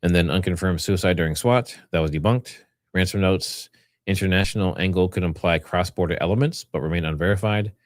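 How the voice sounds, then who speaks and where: A man's voice: low-pitched, brisk, no room sound, clean studio sound. A man hosting a livestream, giving informal, rambling commentary and partly reading from notes. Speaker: livestream host.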